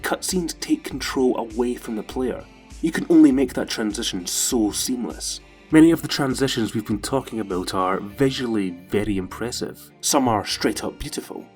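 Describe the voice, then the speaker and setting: A man's voice: medium pitch, a little mellow. A man delivering scripted narration as the presenter of a video essay.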